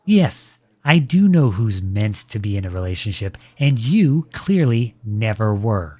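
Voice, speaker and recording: A man playing a protective boyfriend in a scripted audio roleplay, with measured, formal, pointed phrasing, polite but cutting. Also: lowish voice, very animated, mellow, dry recording. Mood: indignant, scornful.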